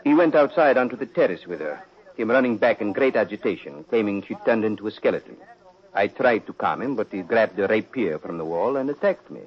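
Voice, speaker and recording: An old, muffled radio mystery recording carries a low male voice. A man acts out a devilish aristocrat, urbane and unhurried, who speaks with sinister, mocking courtesy in the theatrical manner of forties radio. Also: animated, close to an everyday voice. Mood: composed, explaining. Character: suave sinister prince, the Devil in gentleman's guise. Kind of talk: 1940s radio mystery acting.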